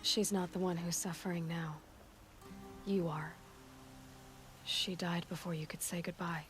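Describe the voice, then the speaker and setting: A woman's voice: on the low side, steady tone, measured pace, faint music behind, natural voice. An actress playing a determined young huntress in a video game, direct and terse in the way she talks. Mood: gentle candour. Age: young adult.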